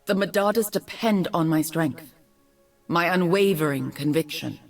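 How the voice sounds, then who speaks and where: Low-voiced, poised noblewoman mage. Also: faint music behind. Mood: firm, composed. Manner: measured, formal, composed. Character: poised young noblewoman mage and politician, proud, determined. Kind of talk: video game character voice lines.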